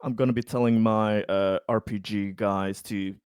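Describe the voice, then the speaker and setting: A man's voice: on the low side, steady tone, moderate pace, darkish tone, clean tone, no room sound, studio quality. A man talking casually as a player in a tabletop roleplaying game.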